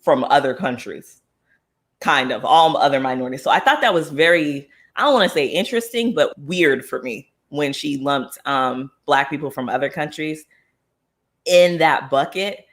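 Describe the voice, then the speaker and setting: A man with a fairly high, bright, forceful voice leads a relaxed book club talk. He is from the United States and thinks out loud, restarting sentences and filling pauses. His delivery lurches from excited bursts to slower, considered stretches. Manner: thinks aloud with frequent fillers and restarts; animated, swings between excited and thoughtful. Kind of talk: casual book club discussion.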